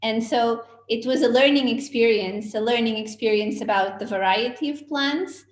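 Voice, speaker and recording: Higher-voiced woman, native Portuguese speaker. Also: moderate pace, reverberant. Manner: thoughtful, hesitant, many fillers. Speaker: book editor. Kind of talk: reflective remarks at an online book launch.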